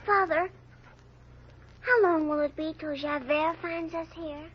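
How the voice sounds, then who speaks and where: A trusting little girl speaks in a tiny, very high voice. She is hushed and timid, in vintage radio drama style. The old recording is dull and faintly noisy. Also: fairly even intonation, unhurried. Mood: timid, anxious. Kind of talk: 1930s radio drama acting.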